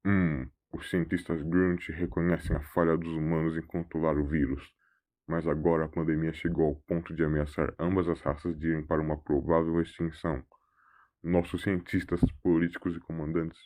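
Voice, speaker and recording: A man's voice: extremely low pitch, a little mellow, pitched below the performer's own voice. A man voicing a grave, cautious alien leader in an audio drama, ponderous, humming between phrases.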